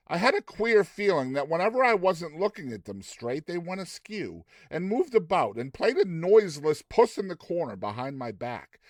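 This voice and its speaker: A man giving a dramatized audiobook narration, theatrical and expressive, with deliberate phrasing. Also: fairly high pitch, no room sound. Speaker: narrator of short story.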